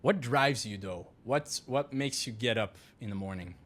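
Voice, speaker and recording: A man's voice: mid-range voice, moderate pace, a bit bright. A man whose first language is Dutch, hosting a casual podcast interview in English, often restarting and searching for words. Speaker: podcast host.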